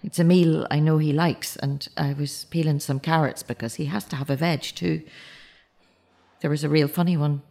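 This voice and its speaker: An actress playing a fragile, grieving middle-aged mother in a naturalistic audio drama, speaking in a halting, confessional way. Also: sits low, fairly even intonation, creaky, warm tone. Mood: grieving, restrained. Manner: halting, self-correcting, confessional. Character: grieving mother of a murdered teenage son, fragile.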